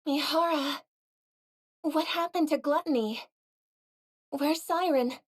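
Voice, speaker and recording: A woman's voice: high-pitched, slow. A woman voicing a headstrong, fiercely loyal young female soldier in dramatic game dialogue, blunt and emotional. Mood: urgent, alarmed.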